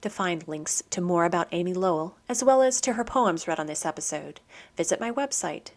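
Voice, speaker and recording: A woman with a slightly low voice presents poetry in scripted narration. Her delivery is measured and literary, suited both to explaining verse and to reading it aloud. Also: animated. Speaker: poetry program host.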